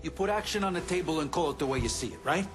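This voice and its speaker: A man's voice: a little high, expressive, very fast, music in the background, natural voice. A man acting a weary, profane middle-aged gangster in a video game, talking bluntly and streetwise. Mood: brash, approving. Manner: blunt, streetwise, heavy swearing.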